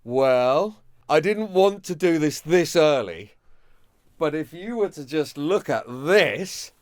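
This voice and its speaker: A man co-hosting a podcast, playful and quick to laugh and chime in. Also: fairly high pitch, animated, no echo, studio quality. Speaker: podcast co-host. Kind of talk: casual chat.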